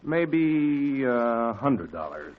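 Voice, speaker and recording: Folksy old caterer. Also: male voice, medium pitch, very animated, extremely slow. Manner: folksy, drawling, bargaining. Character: kindly older male shopkeeper and caterer, folksy. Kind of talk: 1940s radio acting. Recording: dull lo-fi old radio recording.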